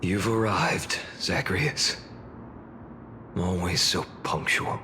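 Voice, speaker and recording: A man voicing a weary, menacing warrior in a video game, solemn and measured with breathy menace. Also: low-pitched, lively intonation, very noisy. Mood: cold menace. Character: grave, weary male warrior worn by countless cycles, menacing.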